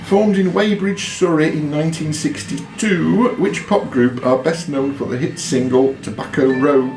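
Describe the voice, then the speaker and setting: A man's voice: on the high side, moderate pace. A man acting as quiz master, reading out questions and calling on contestants. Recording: roomy echo with music behind.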